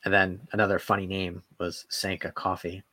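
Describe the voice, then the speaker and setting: A man's voice: low-pitched, level tone, normal speed, pushed and bright, dry recording. A man co-hosting a podcast, chatting and telling anecdotes in a loose, informal style peppered with "like". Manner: informal, loose storytelling with many "like"s. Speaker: podcast co-host. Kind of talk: casual chat, anecdotes.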